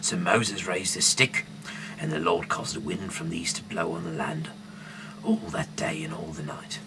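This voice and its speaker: A man reads scripture aloud in a very low, creaky voice. He stumbles and hesitates, leaning hard on some phrases and letting others trail into a murmur. The amateur recording has clearly audible noise behind him. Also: fairly even intonation. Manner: halting, stumbling over words, with sudden loud emphasis. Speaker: amateur Bible reader. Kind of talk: reading scripture aloud with off-the-cuff asides.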